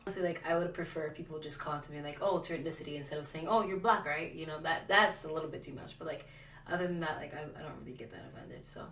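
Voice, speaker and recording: A woman's voice: low voice, quick pace, fairly bright tone, distant mic. A young woman speaking candidly in an interview, acting out quoted remarks and using "like" a lot. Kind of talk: casual interview answers. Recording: roomy, off-mic, faint hiss.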